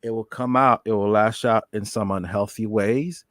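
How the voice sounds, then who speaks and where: A man's voice: lowish voice, fairly even intonation, moderate pace, fairly bright tone, no echo, studio quality. A man hosting a live podcast, talking earnestly and with emphasis about trauma to his guest and his viewers. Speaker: podcast host.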